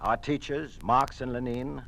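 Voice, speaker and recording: An actor playing a dutiful, zealous American party official in an old radio drama, speaking earnestly and formally. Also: male voice, medium pitch, a little slow, creaky, a bit bright. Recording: noisy vintage radio recording.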